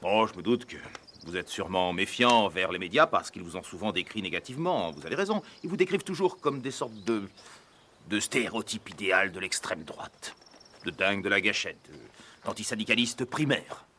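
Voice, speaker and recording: A man's voice: lowish voice, expressive, brisk, sharp tone, slight background noise. A man playing a television journalist who talks in a chummy, persuasive way.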